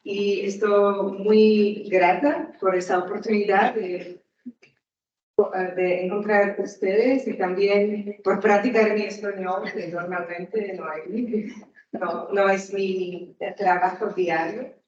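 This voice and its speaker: Slow, hesitant woman with a Slovak accent. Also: medium pitch, steady tone, darkish tone, slight background noise. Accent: Slovak, with Portuguese traces. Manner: hesitant, searching for words in a non-native language. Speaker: guest speaker. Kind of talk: conference talk. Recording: echoey hall, distant mic, muffled.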